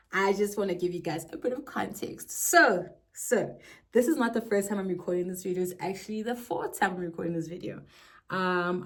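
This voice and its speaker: Low-pitched young South African woman, chatty. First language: non-English South African language. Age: 20s. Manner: playful, rambling asides and self-interruptions. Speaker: streamer and vlogger. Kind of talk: casual chatty vlog to camera. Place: alone in a bedroom. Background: faint music.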